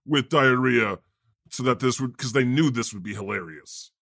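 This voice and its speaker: A man's voice: mid-range voice, normal speed, vocal fry, bright, forceful tone, no room sound, studio quality. A man hosting a comedy podcast, trading playful, teasing banter and often laughing mid-sentence.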